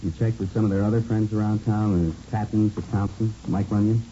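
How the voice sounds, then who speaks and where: A man's voice: on the low side, fairly even intonation, fairly quick, mellow, natural voice. An actor playing an obliging, chatty male gym proprietor in a vintage radio police drama. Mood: obliging, casual. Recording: muffled, noisy vintage radio recording.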